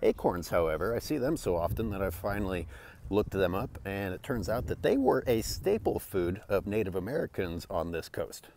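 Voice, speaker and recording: A man's voice: low voice, very animated, moderate pace, darkish tone, a little hiss or hum. A young man giving scripted, tongue-in-cheek voice-over narration for his foraging vlog.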